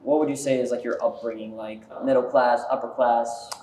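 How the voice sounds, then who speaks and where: A man's voice: medium pitch, fairly even intonation, brisk, darkish tone, reverberant, slight background noise. A man conducting a casual interview, speaking informally and peppering his questions with "like". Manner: informal, filler-heavy with frequent "like".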